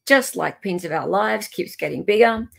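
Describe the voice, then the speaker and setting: A woman's voice: mid-range voice, animated, moderate pace, gravelly. A woman hosting a casual livestream, gushing and repeating words for emphasis. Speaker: livestream host.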